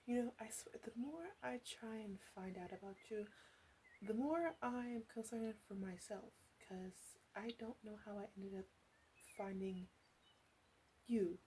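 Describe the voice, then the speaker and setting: A woman playing a flirty, teasing witch in an ASMR roleplay, coaxing the listener softly with playful asides. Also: medium pitch, unhurried, slight background noise, close to an everyday voice, poor audio. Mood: coaxing, curious. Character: teasing, flirty witch in her three hundreds.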